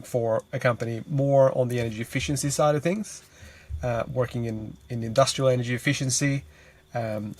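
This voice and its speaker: A man's voice: medium pitch, a little mellow. A Swedish man in his thirties, a guest on a podcast, answering thoughtfully with lots of fillers while he sits in a parked car. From Sweden. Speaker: guest, energy consultant. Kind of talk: casual interview answers. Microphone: podcast mic on a remote call.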